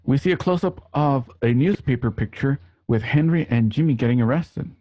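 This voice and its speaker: A man hosting and narrating an online radio play, with a somewhat halting delivery. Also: mid-range voice, expressive, no room sound, muffled recording. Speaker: host and scene narrator. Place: home, remote online recording.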